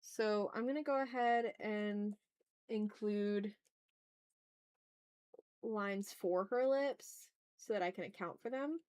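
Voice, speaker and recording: A woman's voice: medium pitch, takes it slowly, long pauses, a little mellow, clean tone. A woman hosting a casual drawing livestream, playfully chatting with viewers and thinking aloud as she works. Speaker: art livestream host.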